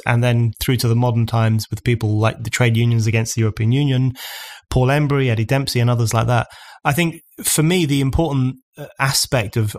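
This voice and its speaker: A man in his forties, hosting a podcast in a studio, building long, earnest arguments at a condenser mic. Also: medium pitch. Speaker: podcast host. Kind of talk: conversational podcast discussion. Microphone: studio condenser mic on stand.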